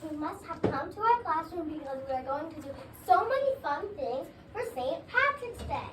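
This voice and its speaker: A woman's voice: extremely high pitch, animated, moderate pace, a bit bright. A woman playing a kindly first-grade teacher in a scripted readers' theater, reading aloud carefully to her class. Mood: explaining. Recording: echoey room, distant mic, background noise.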